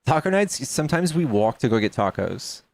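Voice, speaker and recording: A man's voice: medium pitch, sing-song, moderate pace, creaky, a little mellow, dry recording. A man in his thirties chatting casually on a livestream, joking with viewers and reading out donations. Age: 30s. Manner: chatty, reads donations aloud, jokes with chat. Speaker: streamer.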